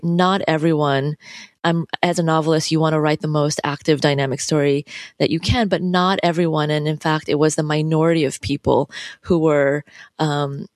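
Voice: mid-range voice, no room sound, clean studio sound. A novelist in their thirties who grew up in the United States, talking through their work in an interview, thoughtful and loose, with many fillers and asides. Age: 30s. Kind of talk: reflective interview talk.

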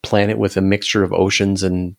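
A man's voice: low-pitched, flat delivery, fairly quick, creaky. A man talking casually about science as an interview guest, emphatic and repeating words to drive points home.

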